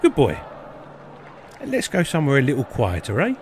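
An actor playing a chummy but slyly threatening peasant in a comic fantasy audio drama. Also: male voice, mid-range voice, huge pitch swings, moderate pace. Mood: quietly menacing. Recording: noisy outdoor ambience.

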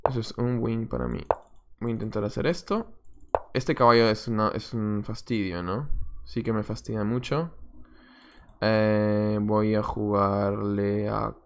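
A man's voice: lowish voice, a little slow. A young man, a chess coach, commenting on his own game as he plays it live, muttering moves and chatting to viewers from a small room at a desk webcam. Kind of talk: live-stream commentary while playing.

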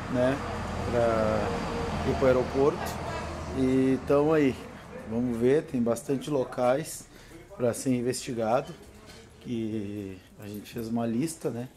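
Man, 30s, southern Brazilian accent, nervous chatty vlogger, dark mellow voice, phone at arm's length, faint background noise. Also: mid-range voice, slow pace, low-quality recording. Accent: southern Brazilian Portuguese. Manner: chatty, nervous, laughs often. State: tired from no sleep. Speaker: paranormal vlogger. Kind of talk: casual selfie vlog. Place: home porch, then inside a car. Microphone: phone held at arm's length.

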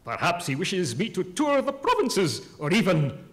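A man's voice: high-pitched, wildly swooping pitch, moderate pace, fairly bright tone, full character voice. A man playing a grandiose, self-important poet who declaims in rhyme in a theatrical comic reading. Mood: grandly excited. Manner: pompous, declamatory, rhyming.

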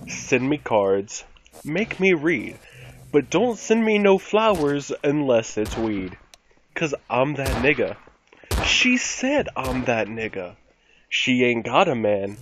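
A man giving a mock-dramatic spoken reading of rap lyrics, theatrical, with deliberate pauses between short phrases. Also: medium pitch. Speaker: performer of dramatic readings in an online game. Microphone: computer mic over game voice chat. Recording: muffled, noisy game voice chat.

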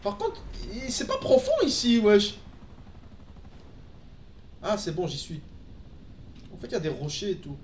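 A man's voice: sits high, huge pitch swings, unhurried, pauses often, echoey room, a little hiss or hum. A young Belgian man, a native Dutch speaker, chatting casually with his live-stream audience while playing, with lots of fillers and restarts. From Belgium, Antwerp. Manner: chatty, answers chat, frequent fillers and restarts. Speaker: gaming streamer. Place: room at home, indoors.

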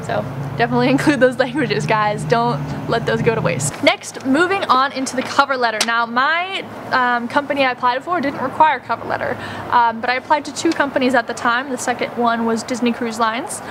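A young American woman in her twenties talks through practical tips in a relaxed, chatty way, sprinkling in plenty of "like" and "um". Her voice sits a little higher than most. She is recorded outdoors in a backyard garden, where clear outdoor ambience and passing traffic can be heard behind her. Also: a little fast. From United States. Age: 20s. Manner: chatty, filler-heavy with frequent "like" and "um". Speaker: travel vlogger. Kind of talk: casual instructional chat.

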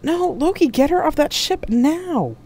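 Woman acting an anguished young male captain, pitch pushed up. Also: measured pace, darkish tone, a little hiss or hum, close to an everyday voice. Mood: desperate, yelling. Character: grief-stricken young male starship captain, anguished soldier. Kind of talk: dramatic sci-fi audiobook dialogue.

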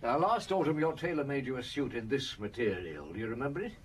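An actor playing a courteous police chief inspector in a radio drama, asking measured, persistent and polite questions. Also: male voice, mid-range voice, lively intonation, slight background noise, close to an everyday voice. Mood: polite, probing.

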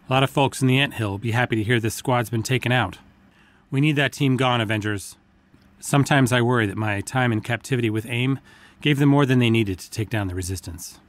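A man's voice: medium pitch, lightly acted. A male actor voices an earnest scientist who heads a superhero resistance in a video game. He briefs and guides his team from mission support in a natural, conversational way. The delivery is grounded, like an everyday man's voice. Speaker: mission support over comms.

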